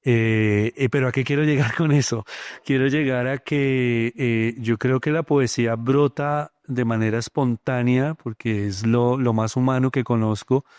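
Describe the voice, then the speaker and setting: Middle-aged bogotá guy musing slowly, lots of ehs, smooth clear voice, dry close sound. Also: mid-range voice, darkish tone, no room sound. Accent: Bogotá Colombian Spanish. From Colombia. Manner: thoughtful, many hesitant fillers. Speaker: poet. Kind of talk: reflective interview talk.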